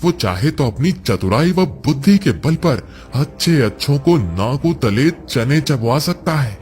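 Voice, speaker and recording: A man's voice: mid-range voice, sing-song, measured pace, a little mellow, soft background music. A man voicing the soul of a deferential village priest in a cartoon moral story, respectful and earnest. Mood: earnest, warning.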